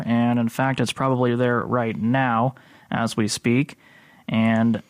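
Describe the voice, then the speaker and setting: A man's voice: medium pitch, no echo, studio quality. A male radio announcer reading out official weather warnings in a methodical, matter-of-fact way. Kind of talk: read-out list of official warnings.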